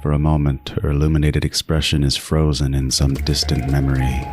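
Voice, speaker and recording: An actor playing an obsessive, predatory serial killer narrating a horror audio drama, brooding and intense, slipping into whispers. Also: male voice, extremely low pitch, monotone, a little fast, over music.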